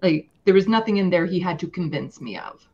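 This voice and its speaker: A woman with a fairly low voice talks casually as a podcast guest. The sound is a little muffled and lo-fi as she lays out her opinions. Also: animated, fairly quick, a little mellow, breathy edge. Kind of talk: casual political discussion.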